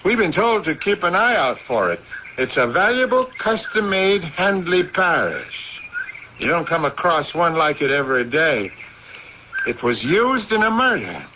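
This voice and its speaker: An actor playing a steady, folksy male police officer in a radio drama. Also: on the high side, unhurried. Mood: matter-of-fact. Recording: noisy muffled radio drama tape.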